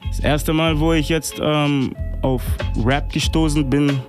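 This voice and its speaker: A man's voice: on the high side, steady tone, unhurried, soft background music. A man in his twenties from Tanzania, a rapper guest chatting casually and laughing often, with Swahili and English as his first languages. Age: 20s. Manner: laid-back, laughs often, slangy, drops English phrases. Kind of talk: casual interview chat.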